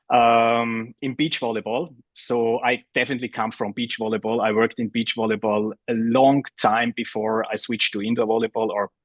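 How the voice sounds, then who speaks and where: A man's voice: mid-range voice, dry recording. A man from Austria, a guest chatting fluently and easily on a podcast. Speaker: guest, sports DJ. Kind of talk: casual interview chat.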